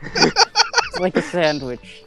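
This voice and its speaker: A man chatting casually as a player in a tabletop game, in a halting, hesitant way. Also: high voice, wildly swooping pitch, over music. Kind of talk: casual group chat during a game.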